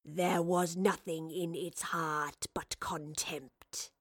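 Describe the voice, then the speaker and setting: A woman voicing a menacing ancient wraith in a stolen body, with a hissing, whispery, drawn-out delivery for an audio drama. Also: low voice, flat delivery, dry recording, studio quality. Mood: sinister certainty. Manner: very slow, drawn-out, ominous.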